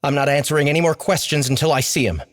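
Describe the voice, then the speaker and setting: A man's voice: on the low side, very animated, a little fast, husky, no room sound, studio quality. A male audiobook reader voicing a young man held prisoner, defiant yet easily flustered. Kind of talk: audiobook character voice.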